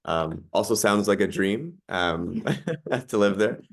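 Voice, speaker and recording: A man in his thirties, a podcast guest, talking in a relaxed way with lots of ums and likes. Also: on the low side, slow pace, vocal fry. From Canada. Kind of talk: casual interview chat.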